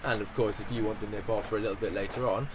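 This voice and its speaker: A man's voice: lowish voice, steady tone, very fast, lightly acted. A man acting a bewildered, polite, exasperated ordinary Englishman in a radio play. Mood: polite, persuasive. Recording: background noise, music and ambience behind.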